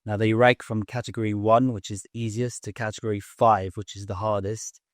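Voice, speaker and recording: A man hosting an interview, explaining things in a clear, teacherly way. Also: on the low side, little pitch movement, fairly quick, no room sound, clean studio sound. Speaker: host, English teacher. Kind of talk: casual interview.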